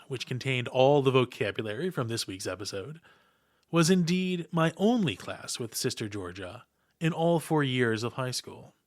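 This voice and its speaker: A man hosting a podcast, reading a prepared script with formal, ornate diction and deliberate, dramatic emphasis. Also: medium pitch. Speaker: podcast host.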